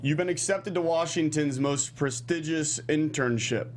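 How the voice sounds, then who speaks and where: A man's voice: fairly high pitch, measured pace, a little hiss or hum, natural voice. A man playing a painfully genuine old man, speaking formally and earnestly in a comedy table read.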